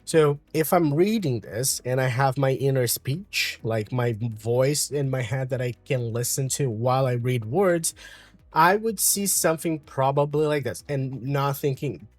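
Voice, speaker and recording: A man from Brazil with a Brazilian Portuguese accent, calmly explaining an English lesson with frequent fillers. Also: mid-range voice, a little slow, dry recording. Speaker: English teacher.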